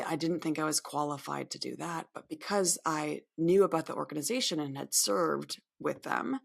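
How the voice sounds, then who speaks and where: A woman's voice: deep voice, vocal fry, a bit bright, husky. A woman in her thirties from upstate New York, speaking candidly and reflectively as a podcast guest, often restarting her words. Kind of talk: podcast interview.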